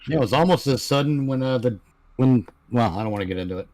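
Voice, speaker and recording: A man's voice: medium pitch, animated, quick pace, darkish tone, no echo. A man bantering casually as a panelist, with a dry, wisecracking manner. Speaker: panel member.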